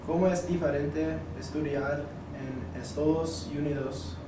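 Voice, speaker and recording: A noisy, heavily echoing recording with the speaker away from the mic. An interviewer puts short, informal questions at an unhurried, slow pace. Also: mid-range voice, fairly even intonation. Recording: very echoey room, distant mic, noisy.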